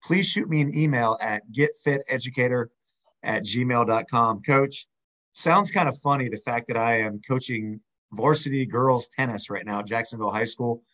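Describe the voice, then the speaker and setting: A man co-hosting a podcast, friendly and chatty, his voice a little hoarse. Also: medium pitch, normal speed, fairly bright tone, poor audio. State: slightly hoarse. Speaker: podcast co-host. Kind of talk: casual podcast chat.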